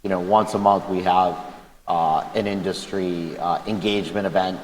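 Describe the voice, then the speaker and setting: A man with a loud voice speaking on a conference panel, talking conversationally with frequent restarts and repeated words. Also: low-pitched, fairly even intonation, normal speed, slight background noise. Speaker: government panelist. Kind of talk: panel discussion. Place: conference hall. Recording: echoey hall with faint ambience.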